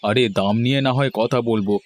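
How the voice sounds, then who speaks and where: A man's voice: mid-range voice, quick pace, natural voice. A man voicing a cautious cartoon village fish farmer who speaks colloquially and a little hesitantly. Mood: agreeable. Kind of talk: cartoon dialogue.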